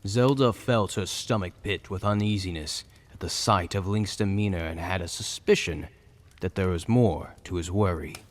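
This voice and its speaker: A man's voice: sits low, moderate pace. A man narrating a fantasy story in a dramatic, scripted storytelling style. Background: soft music.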